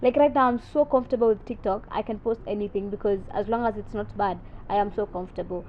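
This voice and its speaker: A woman's voice: mid-range voice, fairly even intonation, fast talker. Confident young woman. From South Sudan. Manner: confident, chatty, code-switching. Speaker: podcast guest, content creator. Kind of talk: casual interview chat, mixing English and Swahili. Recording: muffled podcast audio with faint background noise.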